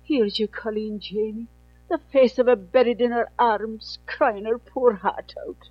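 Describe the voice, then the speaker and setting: A woman's voice: fairly high pitch, fairly even intonation, a little slow, no room sound, close to an everyday voice. An actress playing a worried older Irish mother in a 1940s radio play, with an Irish accent and a fussing manner. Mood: fretful. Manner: fretful, fussing. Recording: dull old radio recording, faint music.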